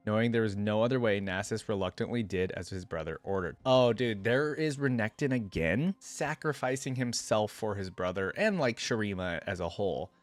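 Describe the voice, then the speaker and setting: Low-voiced man, dry close recording. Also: moderate pace, few pauses, dry recording. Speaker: reaction video host. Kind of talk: reading lore aloud with casual off-the-cuff commentary.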